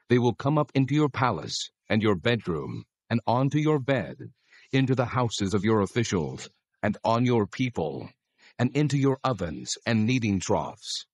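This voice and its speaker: A man voicing a stern, solemn God who proclaims commands deliberately in a dramatized scripture reading. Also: lowish voice, no room sound, clean studio sound, heavily acted.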